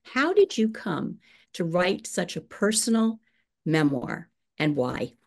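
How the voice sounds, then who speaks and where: A woman's voice: fairly low pitch, sing-song, slow, vocal fry. A woman in her sixties moderating a video call interview, affirming her guest and asking questions. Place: home room.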